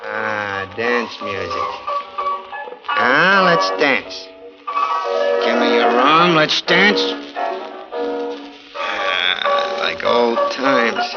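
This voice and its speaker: An actor playing a bitter, jealous, hard-drinking snoop of a husband, sardonic and menacing, in theatrical 1940s radio melodrama style. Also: male voice, mid-range voice, lightly acted. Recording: muffled 1940s radio recording with music.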